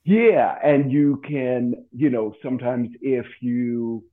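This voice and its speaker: A man chatting casually about old radio in a halting way, often repeating himself. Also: mid-range voice. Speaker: radio show host. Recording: phone-like thin sound.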